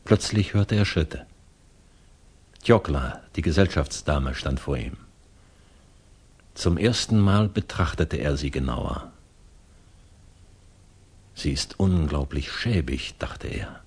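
A man's voice: a bass voice, animated, slow, long pauses, a little mellow, slightly rough, exaggerated voice. A man narrating a literary tale in a 1960s German radio play, sober and scripted.

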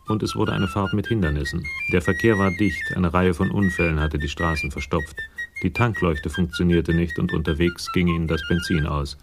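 An actor narrating a crime radio play as an observant storyteller, measured and matter-of-fact. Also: male voice, deep voice, creaky, full character voice. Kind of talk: scripted narration in radio drama.

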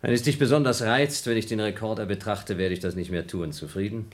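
A man's voice: lowish voice, steady tone, brisk, close to an everyday voice. A man playing a dryly cynical astronaut. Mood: ironic. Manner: dry, needling irony. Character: cynical, restless male astronaut trapped in a station. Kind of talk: radio play acting.

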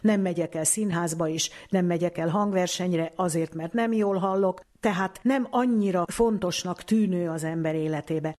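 A woman's voice: fairly low pitch, hardly any pauses, a little mellow. A woman speaking as an expert guest in an interview, explaining didactically with everyday examples.

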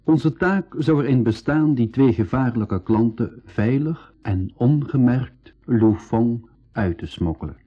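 An actor with a Belgian Dutch accent narrating an adventurer's voyage in formal, measured, literary language for a radio play. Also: male voice, mid-range voice, animated, muffled recording. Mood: measured, serious. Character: male adventurer narrating his voyage log.

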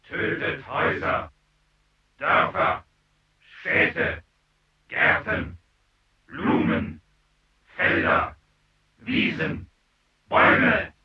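An actor playing a fierce military commander in an avant-garde radio drama, hammering out terse orders and slogans. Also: male voice, high-pitched, big pitch swings, drawn-out words, long pauses, full character voice. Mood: frenzied commanding. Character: fierce male war commander, inciting soldiers to kill. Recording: distant, echoing, dull sound.

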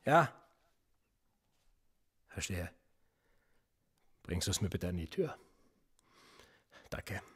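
A man's voice: sits low, big pitch swings, extremely slow, pauses often, background noise, exaggerated voice. An actor playing a police interrogator in a radio play, pressing and sarcastic as he questions a suspect. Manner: pressing, sarcastic, quietly needling. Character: probing male cop, middle-aged, cold and persistent.

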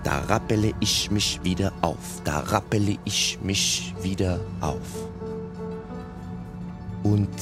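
An actor playing a stage singer who picks himself up again, in a scripted radio drama monologue. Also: male voice, low voice, slow, long pauses, over music. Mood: reflective.

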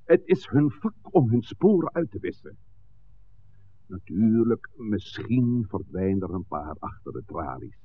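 A man acting a calm, authoritative village priest in a 1960s radio play, speaking deliberately and oratorically. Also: fairly low pitch. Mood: persuasive. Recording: tinny, noisy 1960s radio recording.

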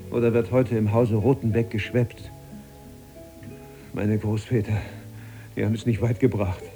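An actor playing a frustrated, sarcastic archivist husband in a German radio play, sardonic and brooding. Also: male voice, mid-range voice, warm tone, natural voice.

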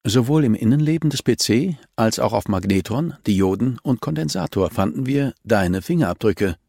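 A man voicing a cool, relentless policewoman in a crime audiobook, calm and insistent as she accuses a suspect. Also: medium pitch, moderate pace, vocal fry, no echo, clean studio sound, pitched above the performer's own voice.

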